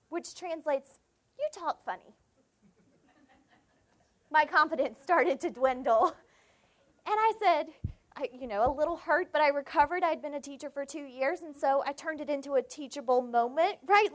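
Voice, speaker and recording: A young woman with a South Carolina Southern accent preaches in a high, light voice. She tells a personal story, acting out the dialogue in it before turning to scripture. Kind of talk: devotional sermon with personal story.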